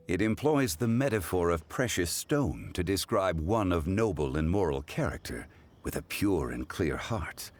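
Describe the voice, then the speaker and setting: Game cutscene: a deep, composed scholar critic; lively intonation, formal delivery. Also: male voice, exaggerated voice. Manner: measured, formal, explanatory. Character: calm, scholarly older-seeming man judging poetry.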